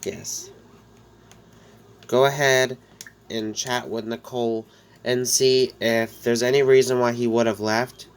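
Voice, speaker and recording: A man's voice: medium pitch, steady tone, slow, pauses often, smooth. A man with a head cold and a slightly nasal voice, casually narrating his life-simulation game story in a rambling way with frequent restarts. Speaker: gameplay narrator. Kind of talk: casual let's-play storytelling.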